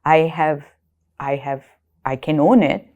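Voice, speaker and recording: A woman from Pakistan speaks in a low, dark voice. She is thoughtful and questioning, often restarting as she works through ideas. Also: slow pace, muffled recording. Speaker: manuscript painter. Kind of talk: documentary interview.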